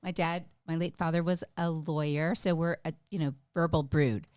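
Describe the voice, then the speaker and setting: A woman's voice: low-pitched, steady tone, normal speed, darkish tone, clear, resonant tone, no room sound, clean studio sound. A middle-aged woman from the United States, a podcast guest talking in a chatty, self-deprecating way with many fillers. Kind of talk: casual interview chat.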